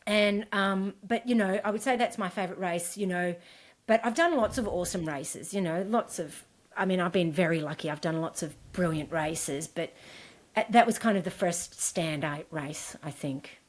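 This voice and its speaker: A woman's voice: fairly low pitch, moderate pace. A woman from Scotland, an endurance coach, chatting as a podcast guest with lots of fillers and restarts. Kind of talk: casual interview chat.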